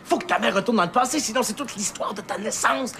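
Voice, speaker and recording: An actor playing a scatterbrained inventor uncle with a Québécois accent, muttering and lecturing. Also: male voice, high-pitched, fast, sharp tone, a little hiss or hum. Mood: fussy. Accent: Québécois French. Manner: befuddled, lecturing, muttering to himself.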